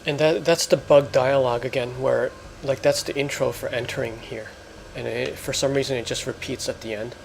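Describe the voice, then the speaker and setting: Creaky man doing casual game commentary. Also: mid-range voice, steady tone, normal speed, hardly any pauses, a little hiss or hum, low-quality recording. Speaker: gamer, let's play host.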